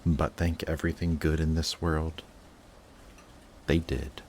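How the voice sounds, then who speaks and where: A man playing an emotional villain confessing to his longtime enemy in a dramatic audio roleplay, intense, halting and defensive. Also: a bass voice, little pitch movement, darkish tone, a little hiss or hum, full character voice. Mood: pained, confessing.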